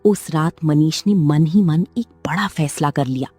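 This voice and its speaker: A woman's voice: low voice, measured pace, breathy edge, soft background music. Woman narrating a cartoon story. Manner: steady storytelling.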